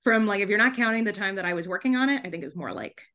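A woman with a medium-pitched voice chats as a guest in a casual interview. She is animated and talkative, breaking off and restarting her thoughts as she goes. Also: racing, dull audio. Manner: chatty and animated, self-interrupting, often giggling while talking. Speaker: podcast guest, debut author.

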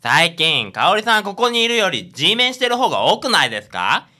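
A woman playing a whiny, boastful, bumbling young man in a radio drama, with an excitable and petulant manner. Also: on the low side, brisk, exaggerated voice.

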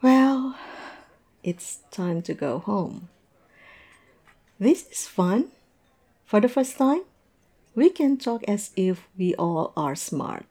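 A woman's voice: medium pitch, big pitch swings, takes it slowly, long pauses, mellow, exaggerated voice. A woman acting in a roleplay audio drama as a tipsy, lovestruck young woman, self-mocking and playfully theatrical. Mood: friendly, winding down.

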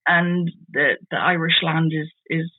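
A woman in her forties from the Netherlands, with a Dutch accent, talking casually as an interview guest, halting and often repeating words as she restarts. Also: deep voice, steady tone, breathy edge, no echo. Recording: tinny phone-like call audio.

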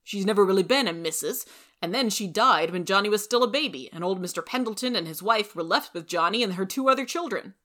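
A woman's voice: medium pitch, animated, quick pace, fairly bright tone, natural voice. A woman voicing a loyal, solemn, plain-spoken young man in a storybook, with firm, emphatic delivery.

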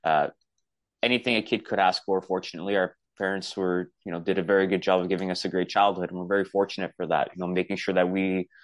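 A man, his voice sitting very low, speaks as a podcast guest in a loose, easygoing way, often reaching for "you know". The sound is close and dry, with no room around it. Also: dry recording. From Canada. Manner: relaxed, easygoing, many fillers like "you know". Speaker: podcast guest, boxing coach. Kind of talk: casual interview chat.

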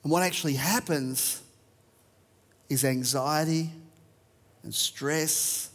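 A man's voice: a little high, slow, a bit bright, in a large hall. An Australian man preaching a sermon, reading verses and talking conversationally to a church. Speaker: pastor.

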